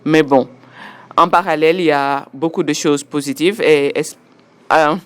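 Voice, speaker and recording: A woman, giving a measured personal testimony as an interviewee and listing details step by step. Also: sits low, fairly even intonation, clean tone. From Senegal. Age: 40s.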